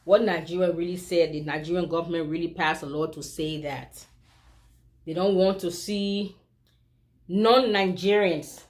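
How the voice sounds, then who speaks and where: Liberian woman giving an emphatic opinion. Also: fairly low pitch. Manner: emphatic, rhetorical questions to the viewer. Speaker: vlogger. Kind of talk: opinion commentary.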